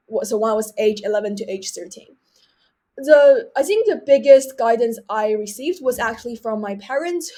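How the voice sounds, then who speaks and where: Young woman from china, english is her second language, kinda high voice, earnest explainer on a podcast. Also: a little mellow. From mainland China. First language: Chinese. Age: young adult. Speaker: podcast guest, debater. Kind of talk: reflective interview talk with advice.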